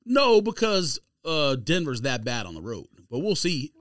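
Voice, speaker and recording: A man's voice: fairly high pitch, sing-song, a little slow, fairly bright tone, no echo, clean studio sound. A man in a casual sports podcast debate, argumentative and slangy as he contradicts and argues his points.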